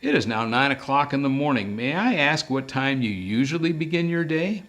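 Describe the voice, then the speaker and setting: A man's voice: mid-range voice, expressive, normal speed, fairly bright tone, natural voice. A man voicing a priggish, anxious male English professor in a detective audiobook, speaking formally and wordily. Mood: formal, fussy. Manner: formal, wordy, fussy.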